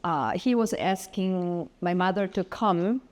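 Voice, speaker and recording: A middle-aged woman from South Korea, whose first language is Korean, talks as a guest in a home interview. She speaks with halting pauses and many fillers. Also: fairly low pitch, a little mellow. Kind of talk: casual interview. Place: home studio.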